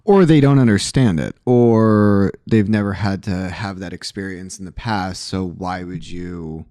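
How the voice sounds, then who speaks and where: A man with a low voice hosting a podcast, talking candidly and joking, with many "uh" fillers, through a weak microphone. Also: unhurried, warm tone, dry recording. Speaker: podcast host, sober living owner. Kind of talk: casual chat. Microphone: poor microphone, hard to hear.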